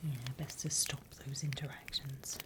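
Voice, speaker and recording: A British woman voicing a prim demon teacher in a soft, deliberate whispered ASMR roleplay. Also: rumbling low, little pitch movement. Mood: patient, instructive. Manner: soft, deliberate, instructional, with pauses. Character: female demon teacher, softly menacing, encouraging, prim.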